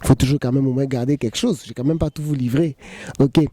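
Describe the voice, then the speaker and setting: A man in his thirties, a choreographer, answering interview questions in a relaxed, joking way and saying "voilà" often. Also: medium pitch, quick pace, dry recording. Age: 30s.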